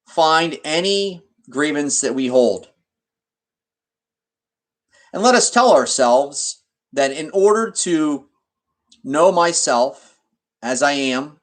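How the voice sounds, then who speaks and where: A man leading a guided meditation in an instructional way, speaking deliberately in short phrases with pauses mid-sentence. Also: fairly high pitch.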